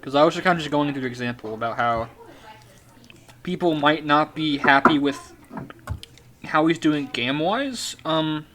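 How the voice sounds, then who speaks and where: A man's voice: a little high, moderate pace, long pauses, fairly bright tone. A teenage boy hosting a casual podcast chat, hesitant and full of fillers and restarts. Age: teens.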